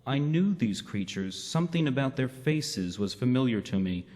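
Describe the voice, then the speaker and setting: A man playing a self-doubting robot who narrates his story in a reflective, measured way in a radio drama. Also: medium pitch, lively intonation, darkish tone, close to an everyday voice. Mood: grave, astonished. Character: self-doubting male robot recounting his own downfall. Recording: long reverberant hall sound.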